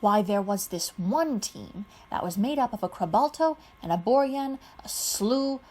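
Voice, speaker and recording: A woman's voice: mid-range voice, measured pace. A woman voicing a chatty, fussy older male alien adviser who rambles on in a storybook reading. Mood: rambling. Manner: rambling and animated.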